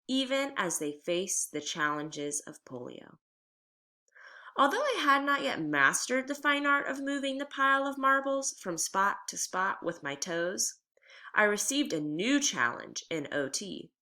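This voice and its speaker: A woman reading a children's memoir aloud like a teacher, with expressive, deliberate storytelling delivery. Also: medium pitch.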